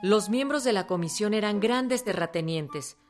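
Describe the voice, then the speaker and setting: Woman narrating history, serious and formal, average pitch, music clearly playing behind. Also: animated, normal speed. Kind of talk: scripted documentary narration. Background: clear background music.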